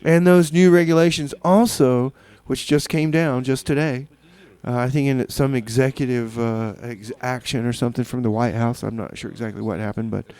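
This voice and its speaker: A man hosting a community radio music show, chatting casually in a halting, rambling way with deadpan comedy. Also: medium pitch, clear, resonant tone. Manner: hesitant, rambling, halting with repeated words. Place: small community radio studio.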